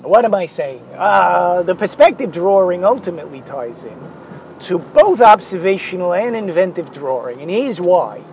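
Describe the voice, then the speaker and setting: A man with a hoarse, failing voice talking through an art lesson, digressive and hesitant with many fillers and sardonic asides. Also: sits high, very animated, normal speed, darkish tone, muffled recording, slight background noise. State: hoarse, losing his voice. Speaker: art instructor. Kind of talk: rambling spoken art lesson.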